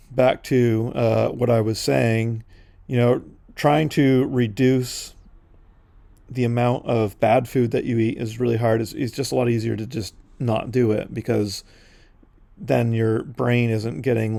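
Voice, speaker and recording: A man's voice: mid-range voice, flat delivery, unhurried, gravelly, warm tone, no echo. A man in his forties casually rambling as a podcast host while he paints, drifting into side notes with lots of fillers. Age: 40s.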